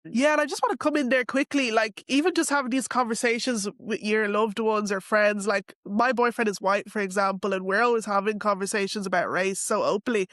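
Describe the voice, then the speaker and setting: A woman's voice: medium pitch, fairly quick, hardly any pauses, fairly bright tone, no room sound, studio quality. Earnest young woman, casual chat. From Ireland. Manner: earnest, frank, leans on "like" and "you know". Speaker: interview guest. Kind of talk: casual podcast interview.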